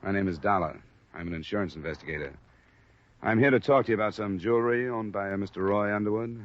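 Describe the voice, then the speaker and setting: An actor with an American accent playing a businesslike, unruffled insurance investigator in an old radio detective drama, speaking in a clipped way. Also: male voice, fairly low pitch, fast, lightly acted. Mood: firm. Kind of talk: 1940s-50s American radio detective drama acting and narration. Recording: dull vintage radio recording with clear music.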